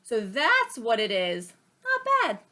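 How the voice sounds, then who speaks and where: A woman's voice: on the high side, huge pitch swings, slow pace, pushed and bright, heavily acted. A woman voicing a grumpy, hungry monster puppet who lives in a trash can, in a blunt, demanding storybook read-aloud voice. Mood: surprised.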